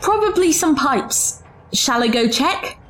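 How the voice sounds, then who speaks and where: A woman's voice: sits high, lively intonation, takes it slowly, fairly bright tone, slight background noise, heavily acted. A woman playing a proud, distrustful time traveller in an audio drama, formal, pointed and challenging. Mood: guarded.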